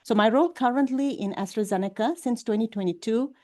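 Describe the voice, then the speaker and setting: Woman panelist, fluent and steady. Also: on the high side, fairly quick. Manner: fluent, with occasional fillers. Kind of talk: panel discussion introduction.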